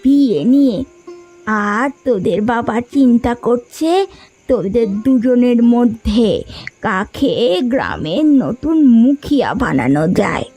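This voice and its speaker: Woman voicing a slow, plaintive nagging village mother. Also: fairly high pitch, expressive, mellow, faint music behind. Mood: worried. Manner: plaintive, scolding. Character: worried, nagging aging village mother of grown sons. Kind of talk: Bengali moral-story cartoon dubbing.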